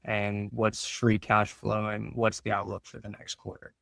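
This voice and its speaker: Male interview guest, finance expert. Informal explainer, lower pitch, very even delivery. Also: measured pace, creaky, no room sound.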